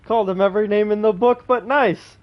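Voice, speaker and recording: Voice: mid-range voice, steady tone, brisk, lo-fi sound. A game player giving casual reaction commentary during gameplay.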